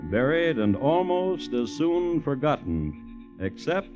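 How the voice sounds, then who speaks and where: Wry old-radio storyteller, lively swings in pitch, theatrical, dulled recording. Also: male voice, takes it slowly. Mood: solemn, lyrical. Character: wry, folksy male storyteller of a dark tale. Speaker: narrator. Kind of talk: wry 1950s radio drama narration. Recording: muffled old radio recording, music underneath.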